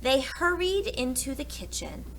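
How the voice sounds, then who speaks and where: A woman narrating a children's story aloud for preschoolers, with dramatic and deliberate phrasing. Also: high-pitched, very animated, noisy background.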